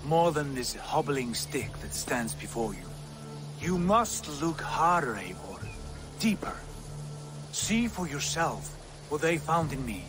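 A man's voice: a little high, big pitch swings, takes it slowly, over music. An actor playing a haughty, wounded Viking lord who believes he is a god, speaking solemnly and grandly in a dramatic video game. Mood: solemn, fervent.

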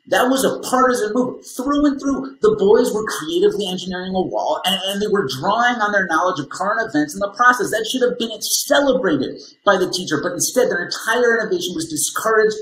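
A man's voice: high voice, animated, a little fast, few pauses, fairly bright tone. A man in his thirties playing an argumentative, indignant school parent in a scripted dramatization. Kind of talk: scripted dramatization on video call. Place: small room, video call. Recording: roomy laptop call, light noise.

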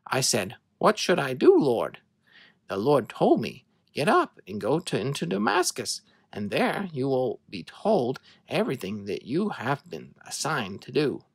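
A narrator reading scripture to children as a dramatic story, in an expressive, measured way. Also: medium pitch, slightly rough, no room sound.

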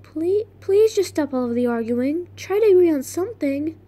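Earnest young cartoon heroine, played by an amateur actress, raised pitch, voice cracking with flu, appealing for calm. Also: female voice, moderate pace. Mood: pleading.

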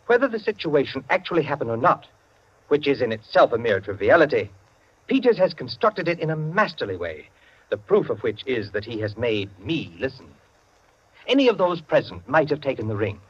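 A dull, vintage radio recording carries a pompous clubman intellectual. He lectures precisely and argumentatively in a mid-pitched, widely swooping voice, in 1940s radio drama style. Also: sing-song, brisk, husky. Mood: pompous, lecturing.

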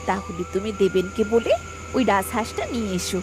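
A woman's voice: medium pitch, fairly quick, background noise, natural voice. A woman voicing a greedy village wife in a cartoon, insistent and demanding. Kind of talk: cartoon dialogue acting. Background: music.

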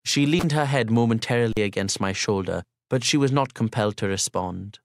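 A man narrating a literary audiobook in the first person, in a formal, measured and polite manner. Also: fairly low pitch.

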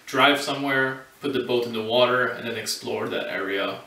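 Deadpan man with dry quips. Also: medium pitch, moderate pace. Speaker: sailing vlog co-host. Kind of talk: casual chat. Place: living room at home, indoors. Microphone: far camera. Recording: echoey room, mic at a distance.

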